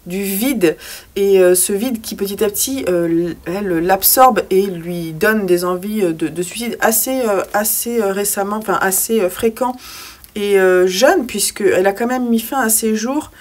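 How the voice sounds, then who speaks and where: A young woman chats about a book she has read, in a loose and hesitant way, with frequent fillers and restarts. Her pitch sits in the middle of the range. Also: a little slow. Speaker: book vlogger. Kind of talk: casual book review with read-aloud poems.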